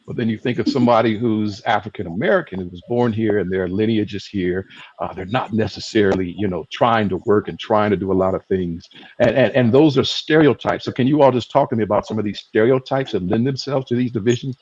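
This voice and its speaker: A man moderating a panel discussion on a video call, asking thoughtful, halting questions with many fillers. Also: fairly low pitch, darkish tone. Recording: dull lo-fi video call audio.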